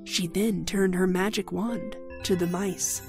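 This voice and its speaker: A woman's voice: lowish voice, steady tone, a little slow, gravelly, darkish tone, faint music behind. A woman with an American accent narrating a scripted fairy tale for children. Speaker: storyteller.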